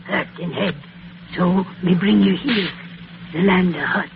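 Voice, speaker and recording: Woodland healer, high-pitched, pidgin English, short phrases, friendly, old radio drama, thin hissy recording. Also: male voice, a little slow, vocal fry, narrow, tinny audio. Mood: solemn, helpful.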